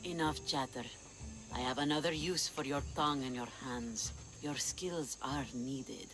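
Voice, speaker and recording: A woman's voice: rumbling low, a little slow. A woman playing a sly, commanding pirate leader in a video game, with theatrical delivery. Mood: intimate. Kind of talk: video game cutscene acting.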